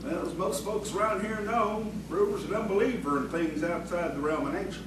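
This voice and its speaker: Man playing a high-voiced folksy village blacksmith. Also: a little fast, close to an everyday voice. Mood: sly, confiding. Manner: folksy, rustic storytelling. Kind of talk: audio theatre acting. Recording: echoey room, distant mic, clear noise.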